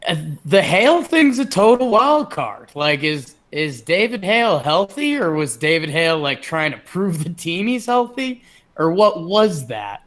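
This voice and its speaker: A man with a bright, forward voice sitting a little high in pitch. He works through sports opinions as a podcast co-host, informal and thinking aloud as he goes. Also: very animated, unhurried. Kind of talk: casual sports analysis.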